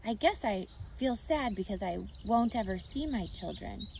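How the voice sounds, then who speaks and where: Lady doing an old mama spider from a storybook, tired and gentle, slow careful words, weak soft voice, bit of noise behind. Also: medium pitch, expressive, vocal fry. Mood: sad, tired. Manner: measured, articulate. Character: weary, gentle, wise aging female spider.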